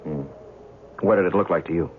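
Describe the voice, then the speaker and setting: An actor playing a young, skeptical newspaper writer, chatty and curious, in an old-time radio drama. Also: male voice, low-pitched, a little fast, natural voice. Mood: curious, flustered. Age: young adult. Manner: hesitant, stammering in dialogue. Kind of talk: old-time radio drama acting, first-person narration and dialogue. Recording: tinny, band-limited old radio recording, faint noise.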